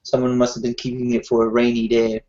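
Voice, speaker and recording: A man playing a tabletop game, speaking in a slow, formal way in character. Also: mid-range voice, monotone, dark tone, highs cut off. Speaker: player. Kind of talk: tabletop roleplay, partly in character.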